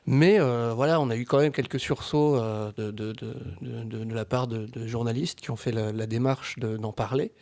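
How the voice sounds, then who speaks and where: Halting man in casual podcast discussion. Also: mid-range voice, steady tone, a little mellow. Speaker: guest, amateur audio fiction creator.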